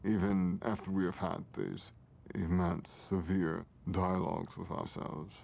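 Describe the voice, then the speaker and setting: Older man, very low, gravelly, measured formal phrasing, reflective interview. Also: little pitch movement, a little mellow, dull audio. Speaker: writer and Vietnam war veteran.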